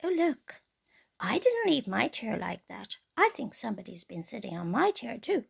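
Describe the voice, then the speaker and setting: A motherly storybook mummy bear, played by a woman. The voice is high and lively, soothing and concerned as it fusses gently. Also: moderate pace, gravelly, husky, heavily acted.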